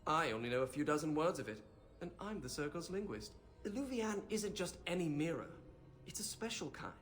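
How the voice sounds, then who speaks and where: Man acting fussy young mage scholar, animated pitch. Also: a little fast, faint music behind, lightly acted. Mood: explaining. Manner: fussy, stammering, pedantic. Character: fussy, bookish young male mage scholar, nervous, excitable. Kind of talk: video game voice acting.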